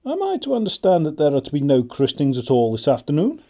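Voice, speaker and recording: A man's voice: on the high side, huge pitch swings, fast talker, dark tone. A man playing a learned, mild country clergyman in a stage comedy audiobook, with an English accent and a pedantic, formal manner. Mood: eager.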